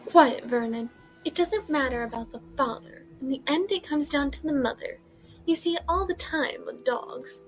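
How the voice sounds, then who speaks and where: A domineering middle-aged aunt, acted by a woman, with a notably high voice and a spiteful, haughty manner. It is audio play acting with music underneath. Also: moderate pace, lightly acted, poor audio. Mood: smug contempt. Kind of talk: amateur audio drama acting.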